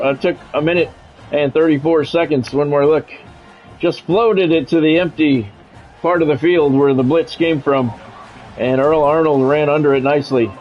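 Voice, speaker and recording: A man's voice: fairly high pitch, lo-fi sound. A man calls football play-by-play in short, clipped phrases. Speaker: play-by-play announcer. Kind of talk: American football game commentary.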